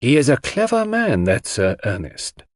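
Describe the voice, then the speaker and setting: A man playing a shrewd, unhurried detective in an audiobook, explaining things in an analytical, deliberate manner. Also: mid-range voice, huge pitch swings, no echo, studio quality, voice pushed up. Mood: shrewd, musing.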